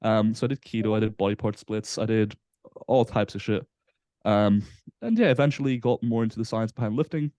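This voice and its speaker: A man in his twenties from Belgium, a guest on a casual podcast interview, explaining in a relaxed way with frequent fillers. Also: on the low side, fairly quick, no echo, clean studio sound. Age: 20s. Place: Southampton, UK.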